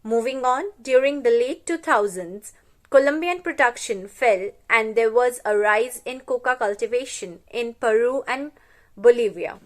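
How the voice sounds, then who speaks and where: Higher-voiced woman from India presenting. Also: expressive, a little slow. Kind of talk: scripted educational narration.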